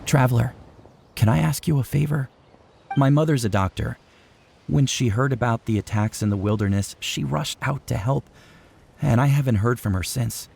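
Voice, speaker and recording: A young male warrior from a video game, acted by a man, earnest and subdued. His mid-pitched voice is heavy with gravelly fry, over faint ambient noise. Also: normal speed, a little mellow, close to an everyday voice. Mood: worried. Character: worried young male warrior, devoted son, earnest and dutiful.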